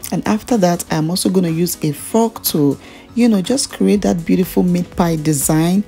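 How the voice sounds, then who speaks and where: Casting: Nigerian-accented woman, lower-pitched voice, chatty food vlogger walking through a recipe over background music. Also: moderate pace, vocal fry, warm tone. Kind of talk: casual cooking tutorial narration.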